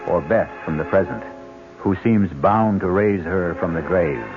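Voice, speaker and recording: A man hosting a radio drama with portentous, deliberate scripted suspense narration. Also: low-pitched. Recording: tinny old radio recording. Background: music.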